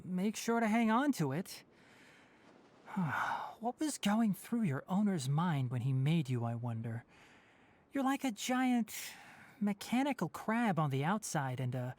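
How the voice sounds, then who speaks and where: A man's voice: on the high side, a little mellow. A man playing a composed young forest ranger scholar in a video game, precise, matter-of-fact and dryly scolding. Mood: wry.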